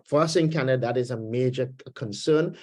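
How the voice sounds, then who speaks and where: A man with a Trinidadian accent speaking as a webinar panelist, measured and thoughtful, pausing often with fillers over a video call. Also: medium pitch. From Trinidad and Tobago roots. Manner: measured, pauses with many fillers. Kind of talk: panel discussion, political commentary. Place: home, Canada.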